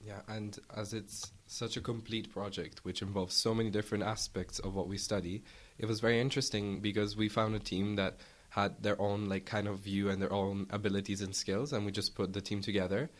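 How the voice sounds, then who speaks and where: Young male student interviewee, slightly low voice, casual delivery. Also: little pitch movement, brisk. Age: young adult. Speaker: student photographer, interview guest.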